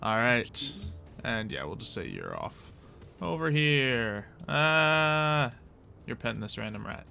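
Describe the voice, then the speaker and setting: A man's voice: fairly low pitch, huge pitch swings, normal speed, vocal fry, bright, forceful tone, soft background music. A man acting as game master, narrating a tabletop roleplay session in a casual, jokey, informal way.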